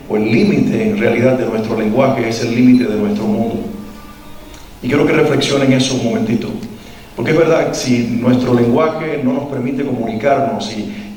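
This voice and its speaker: A man's voice: mid-range voice, darkish tone, a little hiss or hum. A man from Cuba with a Cuban Spanish accent, giving an earnest, reflective talk to parents and asking them questions. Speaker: parenting workshop speaker. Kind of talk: talk to a live parent audience. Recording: echoey hall, mic at a distance.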